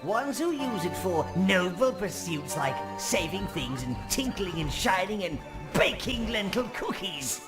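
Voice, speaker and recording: An actor playing a wizened, fawning, gleefully evil old minion advisor in a video game, creaky and theatrical. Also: male voice, high-pitched, very animated, unhurried, hardly any pauses. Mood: sneering. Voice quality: creaky, gravelly. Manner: obsequious, theatrical servant addressing his master. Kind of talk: video game cutscene acting. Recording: game music under the voice.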